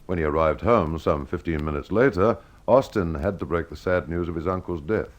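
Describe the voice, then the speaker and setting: A man's voice: low voice, fairly quick, highs cut off. A man hosting and narrating a scripted detective mystery. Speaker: host and storyteller.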